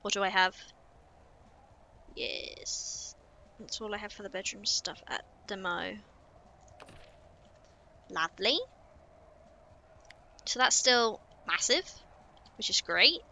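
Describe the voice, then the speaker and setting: A woman's voice: mid-range voice, slow, pauses often, sharp tone, a little hiss or hum. A young woman, chatting casually to herself while playing a building game on stream. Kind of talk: casual gameplay commentary.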